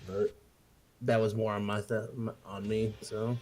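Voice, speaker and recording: A man's voice: lowish voice, little pitch movement, unhurried, a little mellow. A man talking casually as a player in a tabletop role-playing game, informal and sweary. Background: guitar music.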